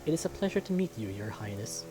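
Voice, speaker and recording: A man's voice: lowish voice, big pitch swings, fast talker, over music, low-quality recording. Man playing a polite, deferential royal envoy. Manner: courteous, formal. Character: polite, deferential visiting envoy in a visual novel.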